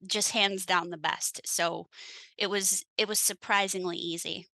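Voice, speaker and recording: A woman's voice: fairly low pitch, steady tone, measured pace, creaky, bright, forceful tone, dry recording, poor audio. A woman from the United States, an author speaking casually and enthusiastically as an interview guest, often laughing.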